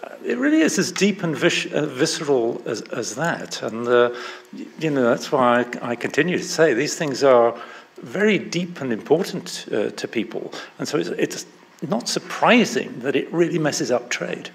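An older man answering as a panelist, speaking haltingly with many fillers. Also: medium pitch, sing-song, vocal fry. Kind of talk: panel discussion talk.

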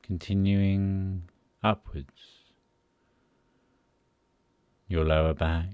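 A man leading a guided sleep meditation in a soothing, unhurried manner, pausing between short phrases. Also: low voice, steady tone, clean tone, no room sound, phone-quality sound.

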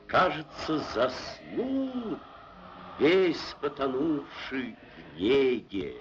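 An actor narrating an animated literary tale, declaiming rapturously in drawn-out phrases on a 1940s film soundtrack. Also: male voice, on the high side, highs cut off, a little hiss or hum, full character voice. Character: rapturous storyteller of old village tales.

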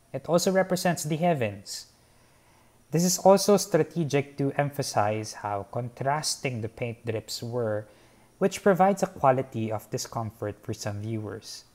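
A young man from the Philippines talks through his painting in a slow, earnest, formal way, his voice sitting at an ordinary middle pitch. He speaks from a fixed camera a short way off on an open garden patio. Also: darkish tone. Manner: measured, earnest, formal wording. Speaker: painter explaining his artwork. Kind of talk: reflective artist talk. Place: covered patio by a garden, outdoors.

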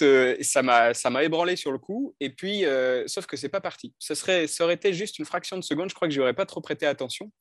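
A man in his thirties from northern France speaks as a coach recounting his past. He is thoughtful and hesitant, often restarting his sentences. His headset mic gives a close, dry sound with no room echo. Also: mid-range voice. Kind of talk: telling his life story in an interview. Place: bright indoor room. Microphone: headset on video call.

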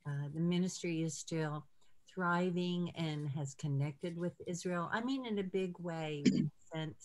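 An older woman leading an informal ministry video call, speaking in an encouraging, halting way from a room at home. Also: lowish voice, darkish tone. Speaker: ministry leader hosting a call. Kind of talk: informal prayer-call talk. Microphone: video call computer mic.

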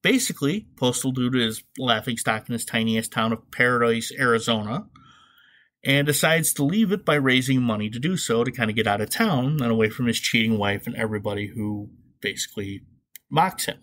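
A man's voice: mid-range voice, brisk, fairly bright tone. A man hosting a podcast, giving comedic, opinionated commentary in a sarcastic way with emphatic asides. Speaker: podcast host.

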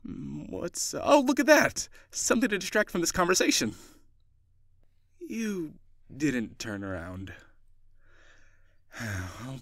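A man playing a friendly, lonely ghost in an improvised audio roleplay, talking gently and hesitantly, as if to one listener. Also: medium pitch, no room sound, clean studio sound, heavily acted. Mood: awkward, evasive. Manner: hesitant, conversational, soft-hearted.

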